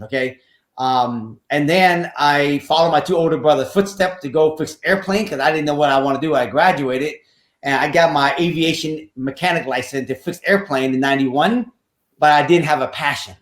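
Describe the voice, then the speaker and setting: A man's voice: on the high side, echoey room. A man in his fifties from Vietnam, telling his life story as a podcast guest in an earnest, repetitive way with some nonstandard grammar. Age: 50s. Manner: earnest, repeats phrases, slightly nonstandard English grammar. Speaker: podcast guest, real estate investor. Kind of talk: casual interview storytelling.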